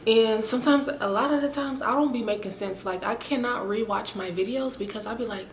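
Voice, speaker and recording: A young woman with a raspy, winded voice, chatting in a rambling, self-mocking way to her camera. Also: a little high, brisk. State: winded and hoarse after illness. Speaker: vlogger. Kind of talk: casual chat to camera. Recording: echoey room with faint hiss.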